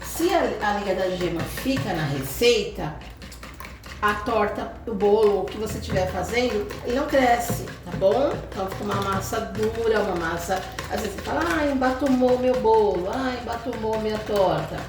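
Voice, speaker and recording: A woman's voice: mid-range voice, normal speed. A Brazilian woman hosting a home cooking lesson, explaining each step in a chatty way and often checking in with viewers. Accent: Brazilian Portuguese. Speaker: cooking channel host. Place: home kitchen. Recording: echoey kitchen audio from far off.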